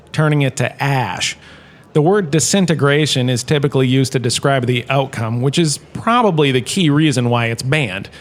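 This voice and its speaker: An actor playing a washed-up former star turned lecturer, giving a breezy, joking lecture in a comic audio drama. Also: male voice, medium pitch, close to an everyday voice. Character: washed-up former star, now a lecturer, chatty and self-important.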